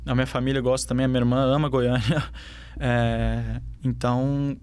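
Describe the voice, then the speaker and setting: Young guy w/ a southern brazilian accent, mid pitch, keeps pausing and restarting, easygoing interview answers. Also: little pitch movement, a little mellow, clean tone. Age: young adult. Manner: hesitant, many fillers and restarts. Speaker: footballer guest.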